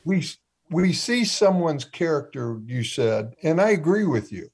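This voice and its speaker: A man's voice: a little high, big pitch swings, a little slow, husky. A man co-hosting a casual sports talk panel, who poses long, probing questions to the others.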